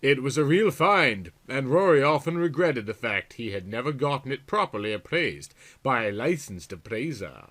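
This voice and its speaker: Animated, bright-voiced man reading melodramatically. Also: mid-range voice, normal speed, few pauses. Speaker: audiobook reader. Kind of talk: theatrical comic reading of a novel chapter.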